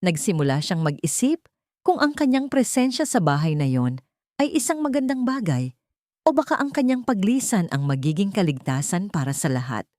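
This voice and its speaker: A Filipino woman reads a dramatic audio story in a low, mellow voice. The recording is dry and close, with a soft, dark tone to her storytelling. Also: very animated, moderate pace, no echo. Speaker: audiobook narrator. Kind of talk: scripted dramatic story narration.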